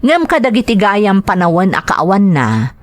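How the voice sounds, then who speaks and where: An actress playing a secretive, evasive working wife in an Ilocano family audio drama, insistent in manner. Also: female voice, mid-range voice, very animated, moderate pace, lightly acted. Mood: remorseful, confessing.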